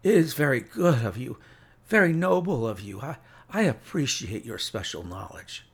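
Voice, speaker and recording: A man voicing a gravely sick man who gasps weakly and pleads haltingly for help in an audiobook story. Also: medium pitch, big pitch swings, pitched above the performer's own voice. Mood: feeble gratitude. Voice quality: labored, gasping, whispery.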